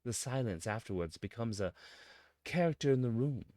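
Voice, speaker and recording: A man delivering a scripted mock-scholarly monologue in a pompous, deliberate manner with dramatic emphasis. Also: mid-range voice, lively intonation, darkish tone, no echo, clean studio sound.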